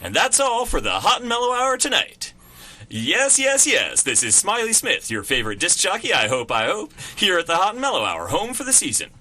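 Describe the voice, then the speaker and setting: A man putting on a brash, fast-talking old-time radio disc jockey with showy announcer patter. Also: high-pitched, wildly swooping pitch, pushed and bright, voice pushed up, exaggerated voice. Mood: showman hype. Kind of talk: 1940s radio acting.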